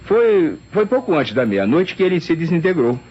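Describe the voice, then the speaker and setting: Lively, courteous private secretary. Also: male voice, a little high, normal speed. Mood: eager, earnest. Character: courteous, talkative male secretary of a doctor. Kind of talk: old radio drama acting. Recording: dull, hissy old radio recording.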